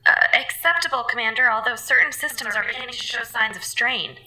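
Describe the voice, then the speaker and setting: A woman's voice: fairly high pitch, fairly quick, pushed and bright, rough recording. A woman voicing a polite, formal artificial intelligence that runs a space station in an audio drama. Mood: polite, dutiful.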